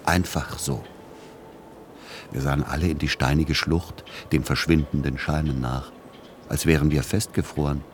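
An actor playing a melancholy grown son, narrating his past in a measured, reflective radio play style. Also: very deep voice, fairly even intonation, slight background noise. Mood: tense, wistful. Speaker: first-person narrator.

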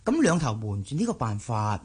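A man playing a callous, self-important, lecherous older uncle in a Cantonese radio drama, with a raspy, drawling delivery. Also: on the low side, sing-song, moderate pace, a little mellow. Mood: callous, conniving.

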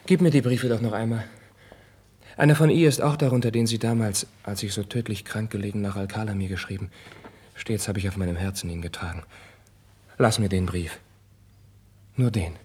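An actor playing a sensitive, impassioned young prince in a husky, breathy voice, with theatrical 1950s radio drama delivery. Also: male voice, lowish voice, fairly even intonation, a little fast, long pauses. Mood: fervent, anxious. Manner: theatrical, swinging between hushed and fervent. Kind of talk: classical German radio drama acting, 1950s.

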